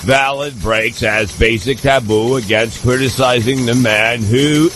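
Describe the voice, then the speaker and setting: A man reads mock news for a comedy show at a slow pace, tripping over words and repeating them. His delivery is halting and clumsy, with mid-range pitch, and clear background noise sits behind his voice. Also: fairly even intonation, a bit bright. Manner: halting, stumbling reading with mispronunciations. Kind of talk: mock newscast read aloud.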